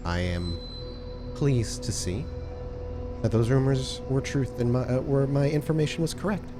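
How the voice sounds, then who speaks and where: A man's voice: mid-range voice, moderate pace, music in the background, pitched above the performer's own voice, close to an everyday voice. A man voicing a poised, stoic woman who leads a vampire chantry in a tabletop game, speaking formally and nonchalantly. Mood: cool, formal.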